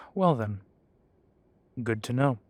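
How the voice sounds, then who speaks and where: A man's voice: mid-range voice, lively intonation, extremely slow, a little mellow, slight background noise. A man playing a sardonic half-breed werewolf with a dry, sarcastic way of talking. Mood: wry.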